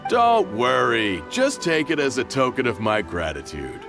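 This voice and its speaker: A man voicing a serious, kindly middle-aged father and merchant in a video game, speaking earnestly and gently. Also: mid-range voice, huge pitch swings, measured pace, full character voice. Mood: warm, insistent. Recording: clear game music behind the voice.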